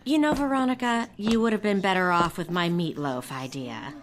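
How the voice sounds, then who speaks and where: Actress as snide, haughty woman, medium pitch. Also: moderate pace, background noise, natural voice. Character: snide, haughty woman belittling others with mock sweetness. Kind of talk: audio drama acting.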